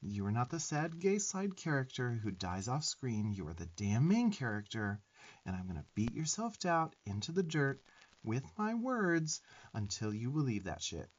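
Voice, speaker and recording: A man's voice: mid-range voice, very animated, normal speed. Man playing a sassy, scolding little demon twink, muffled. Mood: fierce affection. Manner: sassy, scolding, profane, emphatic. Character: sarcastic, bossy, affectionate little young male demon twink. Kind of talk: roleplay ASMR affirmations.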